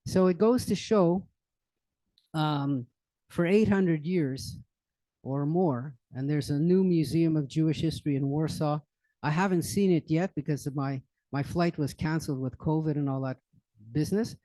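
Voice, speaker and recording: An older man speaks in a loose, rambling lecture manner, full of fillers and restarts. His voice sits a little high and sounds mellow. The sound is dry and close. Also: dry recording, poor audio. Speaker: lecturer on current events. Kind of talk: informal public library talk. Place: library meeting room.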